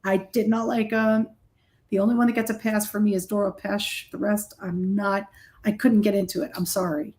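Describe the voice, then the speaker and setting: A woman's voice: mid-range voice, level tone, moderate pace, darkish tone. A middle-aged woman, a heavy metal musician being interviewed, chatting casually and telling stories in a blunt, self-deprecating way. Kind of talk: casual interview chat, anecdotal storytelling.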